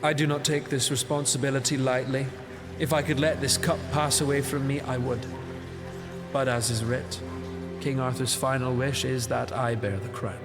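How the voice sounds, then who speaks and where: An actor playing a scheming young knight who takes the crown, measured and coldly formal in a falsely humble way. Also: male voice, medium pitch, fairly even intonation, big-room sound, music in the background, natural voice. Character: scheming young male knight seizing the throne. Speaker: Mordred. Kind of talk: audio drama acting.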